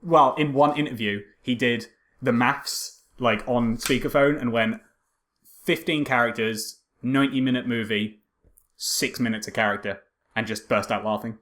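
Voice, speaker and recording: A man's voice: medium pitch, fairly even intonation, normal speed, a bit bright. A man co-hosting a casual film podcast, joking and rambling as he goes with some hesitation. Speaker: podcast co-host.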